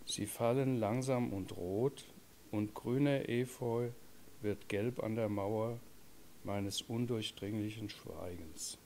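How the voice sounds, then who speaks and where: A man recites poetry in a lowish voice, taking it very slowly. A faint bed of room noise sits behind the reading. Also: warm tone. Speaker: poetry reader. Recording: light background noise, room ambience.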